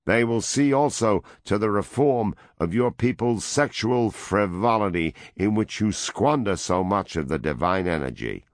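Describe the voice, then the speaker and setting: A man voicing a domineering male world president, loud, preaching and dictatorial. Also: mid-range voice, very animated, slow pace, dry recording, studio quality, voice pushed up, exaggerated voice.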